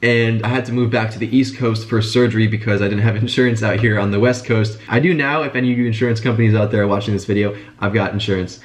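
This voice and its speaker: A man's voice: on the low side, steady tone. A young man telling his story in a casual vlog while congested and sick with a stuffy nose. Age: young adult. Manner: fast, chatty, informal. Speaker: business vlogger.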